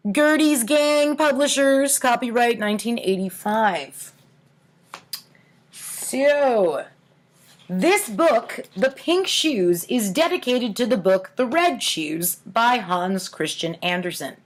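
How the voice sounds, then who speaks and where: A woman's voice: fairly high pitch, animated, takes it slowly. An adult woman hosting a storytime, reading in a tongue-in-cheek manner with casual asides. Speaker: host of a storytime series reading own childhood writing.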